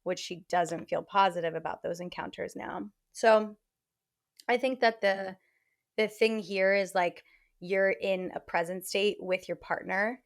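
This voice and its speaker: A woman hosting a podcast, chatting frankly and casually and giving relationship advice with many "like" fillers. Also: on the low side. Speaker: podcast host.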